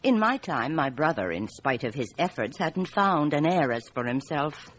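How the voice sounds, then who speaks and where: A woman's voice: low-pitched, very animated, moderate pace, slight background noise. An actress playing a candid, amused Frenchwoman in her thirties in a radio drama, chatty and hesitant. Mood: wry, candid. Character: candid, amused 34-year-old Frenchwoman, plump bar hostess.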